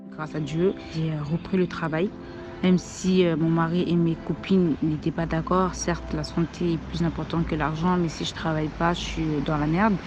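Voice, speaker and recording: A woman giving a halting personal testimony as an essential worker, with plenty of hesitations. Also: low voice, flat delivery, over music. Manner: plain, halting, with fillers. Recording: dull lo-fi with traffic noise.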